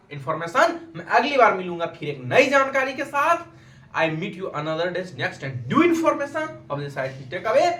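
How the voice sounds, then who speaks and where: A man's voice: high-pitched, pushed and bright. An Indian man teaching an exam-prep quiz lesson as host, speaking emphatically and repeating questions and answers for his students. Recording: echoey room with faint background noise.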